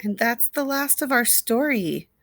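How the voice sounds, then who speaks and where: A woman storyteller reading a picture book expressively to young children, deliberately, with asides and questions to the listeners. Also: a little high, lively intonation, a bit bright, no room sound, clean studio sound. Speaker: read-aloud storyteller.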